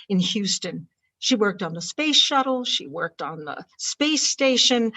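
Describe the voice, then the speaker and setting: A woman hosts an awards ceremony. She reads a prepared introduction at a measured, formal pace. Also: medium pitch, fairly bright tone. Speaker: ceremony host. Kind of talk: scripted introduction.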